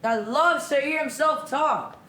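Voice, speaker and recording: Young Shakespearean lover female actor in male role, high-pitched, courtly, ardent, stagey; echoey recording. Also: a little slow, a bit bright. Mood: courteous. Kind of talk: theatrical Shakespearean acting. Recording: echoey room with background noise.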